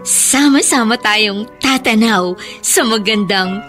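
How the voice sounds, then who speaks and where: A kind young older sister in a radio drama. Her voice is high, gentle and forgiving. Music plays behind her. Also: takes it slowly. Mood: warm, hopeful.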